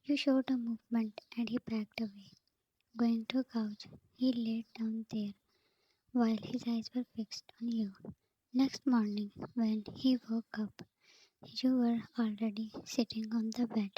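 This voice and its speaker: A woman's voice: fairly high pitch, little pitch movement, unhurried, dull audio, slight background noise. Woman reading a story in a whisper. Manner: soft unvoiced whisper throughout. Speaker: narrator. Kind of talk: whispered fan fiction reading.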